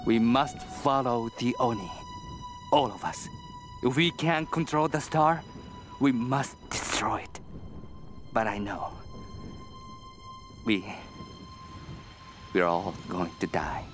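An actor playing a terrified, doomed Japanese soldier in a video game, speaking in an anguished, halting way. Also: male voice, mid-range voice, lively intonation, over music. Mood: panicked dread. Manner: halting, haunted, anguished delivery. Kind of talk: dramatic video game diary narration.

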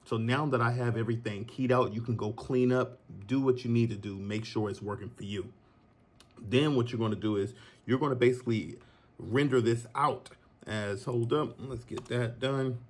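A man in his thirties giving a relaxed, chatty software tutorial from his home office, recorded on a phone and talking to viewers as y'all. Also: medium pitch, moderate pace. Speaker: filmmaker teaching a tutorial. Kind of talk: casual screen-recorded tutorial. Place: green screen studio, then home office.

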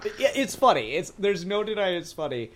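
Animated man hosting a fan review chat. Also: high-pitched, brisk, a little hiss or hum. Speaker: podcast host. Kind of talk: casual fan review discussion.

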